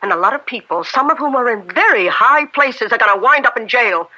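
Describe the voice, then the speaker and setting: A woman's voice: on the high side, lively intonation, fairly quick, bright, forceful tone, heavily acted, rough recording. An actress playing a gangster's wife in an old-time radio drama, blunt and menacing, fighting for her son. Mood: angry, threatening. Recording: vintage radio drama broadcast.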